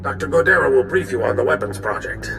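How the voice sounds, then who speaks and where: An actor playing a grave Republic general in a video game, gravelly and measured in his commands. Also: medium pitch, animated. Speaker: military commander giving mission briefing. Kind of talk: video game cutscene acting. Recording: roomy echo, loud music behind.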